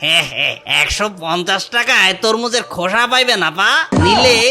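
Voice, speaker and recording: A man's voice: high voice, animated, moderate pace, noisy background. A man voicing a brash village market watermelon seller in a cartoon, hawking and curt, with a Bangladeshi accent. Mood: salesy, curt. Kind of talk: Bengali cartoon voice-over. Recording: music behind the voice.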